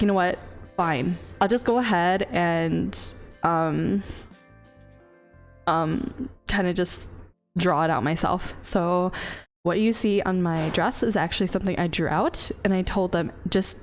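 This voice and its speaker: A woman streaming live as a vtuber, chatting casually to her viewers with frequent giggles, fillers and restarts. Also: medium pitch.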